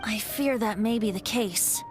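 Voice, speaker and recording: A woman's voice: medium pitch, measured pace, faint music behind, lightly acted. A woman voicing a youthful male bard in a video game, breezy and teasing, with a lilting, musing manner. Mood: reflective. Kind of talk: video game character acting.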